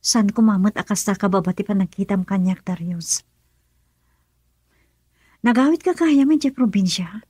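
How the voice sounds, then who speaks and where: A woman acting a wronged, regretful young woman in a radio drama, breathy and pleading, with an Ilocano Filipino accent. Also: mid-range voice, normal speed, pauses often, warm tone, no echo, studio quality, natural voice. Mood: uneasy.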